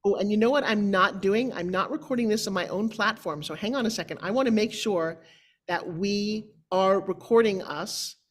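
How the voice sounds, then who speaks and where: A woman's voice: mid-range voice, moderate pace. A middle-aged woman from New York, chatting informally and emphatically as a show host on a video call. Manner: emphatic, theatrical, conversational asides. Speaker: show host, motivational speaker. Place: indoor room, on a video call. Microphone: video call microphone.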